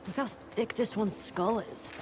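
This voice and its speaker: A woman's voice: mid-range voice, unhurried, background noise, natural voice. An actress playing a curious, teasing teenage girl in a naturalistic video game style. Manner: casual, teasing. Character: curious, playful, sassy teenage girl exploring a ruined museum.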